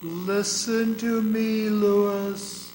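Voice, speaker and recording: A man playing the solemn, forbidding ghost of a murdered man in a radio drama, speaking deliberately. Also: high voice, flat delivery, darkish tone. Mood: grave warning. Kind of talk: old-time radio drama acting on stage. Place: performing arts center hall. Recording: echoey hall, faint noise.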